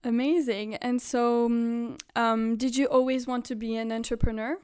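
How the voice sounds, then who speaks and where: A woman's voice: a little high, little pitch movement, moderate pace, darkish tone, clear, resonant tone. A woman hosting a podcast and asking questions in a conversational interview style.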